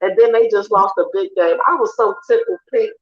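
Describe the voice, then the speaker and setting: A woman, chatting casually as a guest on an online sports talk show and laughing often. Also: a little fast. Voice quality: deep raspy voice. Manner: laughs often, loud and teasing. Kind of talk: casual chat. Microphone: online call. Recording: roomy, dull lo-fi online call audio.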